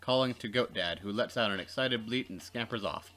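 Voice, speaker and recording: A man reading visual novel narration aloud as a let's play co-host, expressive and wry, with casual asides. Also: lowish voice, brisk.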